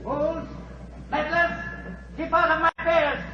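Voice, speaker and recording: Slow, menacing radio villain. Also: male voice, very high-pitched, flat delivery. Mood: furious. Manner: formal, deliberate, menacing. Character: proud, menacing male traitor servant, coldly polite villain. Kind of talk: 1940s radio drama acting. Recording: muffled vintage 1940s radio recording.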